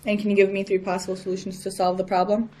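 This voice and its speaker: A woman's voice: medium pitch, flat delivery, rapid-fire, warm tone, lightly acted. A teenage female student acting a firm, formal peer mediator in a scripted role-play. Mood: businesslike. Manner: firm, procedural. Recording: noisy room ambience.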